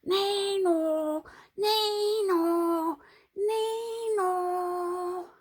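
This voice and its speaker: Two greedy male horse thieves from a storybook, scheming and jeering with glee, as a woman plays them. The voices leap about in pitch and carry a gravelly, breathy grit. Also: lively intonation, slow pace, warm tone, full character voice. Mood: panicked. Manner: jeering, chortling.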